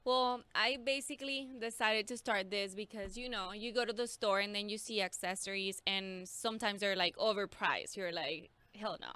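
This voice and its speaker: Mexican-accented woman in her 20s, chatty podcast guest, bright clear voice, dry sound. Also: mid-range voice, moderate pace, hardly any pauses, no echo. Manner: chatty, laughs easily, quotes herself. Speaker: guest, teacher. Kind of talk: casual podcast conversation.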